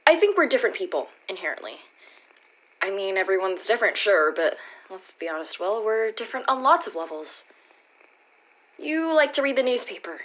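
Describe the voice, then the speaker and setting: A woman playing an anxious, earnest girlfriend in a radio play, reasoning haltingly as she pleads to save a failing relationship. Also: mid-range voice, big pitch swings, fairly bright tone, low-quality recording. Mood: argumentative. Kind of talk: radio play acting, naturalistic dialogue.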